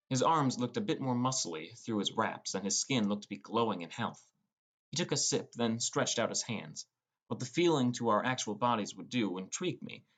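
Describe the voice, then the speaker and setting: A man narrating a first-person fantasy story as a scripted audiobook. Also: mid-range voice.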